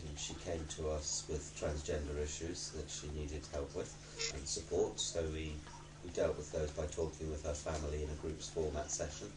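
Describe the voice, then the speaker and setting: A man speaking in a documentary interview, talking in a measured, halting way. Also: extremely low pitch, flat delivery. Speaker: charity worker in an LGBT documentary interview. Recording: roomy echo, distant mic, clear background noise.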